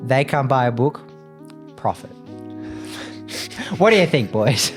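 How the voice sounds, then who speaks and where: A man's voice: mid-range voice, sing-song, takes it slowly, over music. A man playing a tabletop game, improvising in character in casual, short, punchy phrases.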